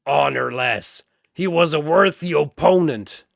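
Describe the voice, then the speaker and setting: Man putting on a fierce, booming bull-man warrior. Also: measured pace, gravelly, no echo, studio quality. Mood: battle fury. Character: brawny bull-man warrior, honour-bound, fierce and proud.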